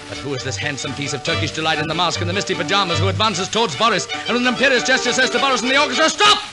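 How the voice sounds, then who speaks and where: A man's voice: high-pitched, rapid-fire. A man narrating a scripted 1940s radio operetta as announcer, in a theatrical, arch and wry storytelling manner. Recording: old 1940s radio recording with orchestral music.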